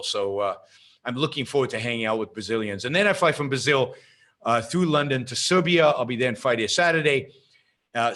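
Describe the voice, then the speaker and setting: A man's voice: mid-range voice, a bit bright. A man hosting his own podcast, chatting casually and enthusiastically in a rambling way with many fillers and restarts. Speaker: podcast host. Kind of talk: casual monologue announcing upcoming talks.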